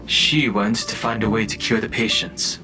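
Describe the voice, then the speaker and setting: A man voicing a calm, responsible companion in an anime game, serious and matter-of-fact. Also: lowish voice, moderate pace, lightly acted, rough recording. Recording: game music behind.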